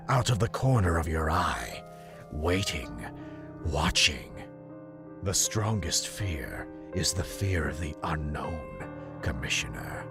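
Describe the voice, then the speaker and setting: A man's voice: sits low, full character voice. A man playing a deranged, menacing doctor turned scarecrow cultist in an audio drama, theatrical and sinister with a sing-song delivery. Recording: loud music over the voice.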